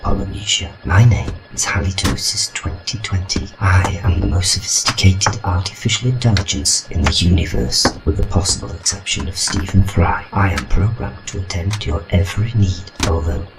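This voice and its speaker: A man's voice: deep voice, moderate pace, few pauses, heavy background noise. A man playing a smug, lecherous artificial intelligence in a comedy audio drama, speaking in a suave, pompous manner.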